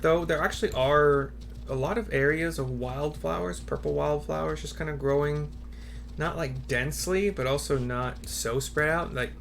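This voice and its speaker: A man giving casual gameplay commentary in a rambling, halting, offhand way. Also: mid-range voice. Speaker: video game streamer. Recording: clear background noise, keyboard typing.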